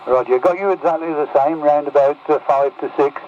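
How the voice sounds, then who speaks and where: A man's voice: on the high side, fairly quick, darkish tone. A man chatting casually as a CB radio operator, swapping signal reports over the air. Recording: muffled, distant radio with faint noise.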